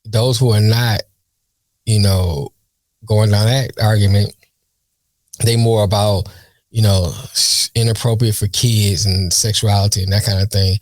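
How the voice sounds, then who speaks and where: Man, low voice, dry close sound, rambling and thoughtful, chuckles a lot. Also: steady tone, a little slow, no room sound. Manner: musing, rambling, laughs often. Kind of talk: casual chat.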